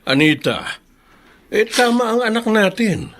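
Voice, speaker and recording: A man's voice: high voice, sing-song, measured pace, a bit bright, exaggerated voice. An actor playing a protective, indulgent middle-aged Filipino father in a radio drama, folksy and stubborn. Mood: protective, defiant. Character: protective, indulgent older father backing his daughter.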